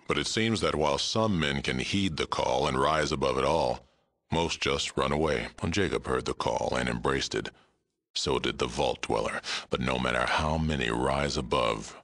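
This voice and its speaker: Very deep, gravelly mutant sheriff. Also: male voice, a bit bright. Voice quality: gravelly, rumbling. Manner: blunt, terse, dry delivery. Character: gruff, aging super mutant sheriff, world-weary but fair-minded. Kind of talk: video game character dialogue.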